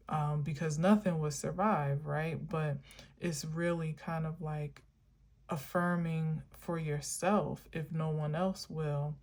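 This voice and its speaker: Woman vlogger, low-pitched, slow and meandering, earnest spiritual musing. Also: a little mellow. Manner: meandering, musing, many filler words; earnest, encouraging. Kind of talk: reflective spiritual monologue.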